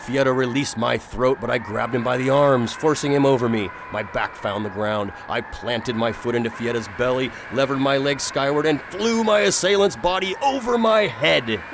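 An actor playing an earnest, determined gentleman hero in a radio drama, speaking gravely and formally. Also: mid-range voice, moderate pace, hardly any pauses, noisy background, close to an everyday voice. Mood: grave, suspenseful. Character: earnest, determined gentleman hero recounting his perilous adventure. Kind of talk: radio drama narration and acting.

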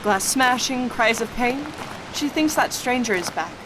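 Radio drama ingenue played by a woman: worried, sceptical, impatient, slightly high voice, noticeable background noise. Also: expressive, measured pace. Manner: urgent, exasperated.

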